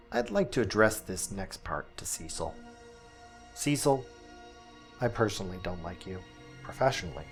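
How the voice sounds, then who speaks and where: A man playing an earnest small-town radio host, delivering a scripted monologue deliberately, with dramatic pauses and emphasis. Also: on the low side, lightly acted. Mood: begrudging, earnest.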